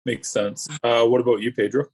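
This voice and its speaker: A man with a medium-pitched voice hosts a roundtable discussion. His delivery is casual and conversational. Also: level tone, brisk, a little mellow, slightly rough, poor audio. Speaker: roundtable moderator.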